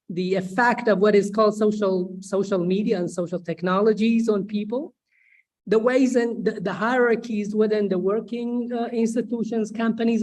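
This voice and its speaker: A woman from Sweden giving spontaneous academic remarks, deliberate and emphatic, repeating phrases for stress. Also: a little high, a little mellow, rough recording. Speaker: symposium host, social scientist. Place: online video symposium.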